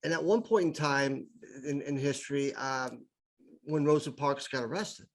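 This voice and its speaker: A man's voice: medium pitch, moderate pace, vocal fry. A middle-aged man, a podcast guest, telling stories with enthusiasm and often repeating words as he builds his point. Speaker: podcast guest, improv speaker. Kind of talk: casual conversational storytelling.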